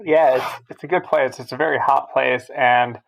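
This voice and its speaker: A man in his thirties from Albania, a guest on a podcast, who talks through his life in a casual, steady way. His pitch is middling and his intonation stays level with little rise and fall. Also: normal speed, gravelly, slight background noise, poor audio. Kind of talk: casual interview chat.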